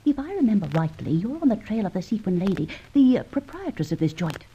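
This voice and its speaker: An actress playing a plucky, stubborn young woman adventurer, brisk and teasing, in an old radio drama. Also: mid-range voice, very animated, dark tone, breathy edge. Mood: teasing, composed. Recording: muffled, hissy old radio recording.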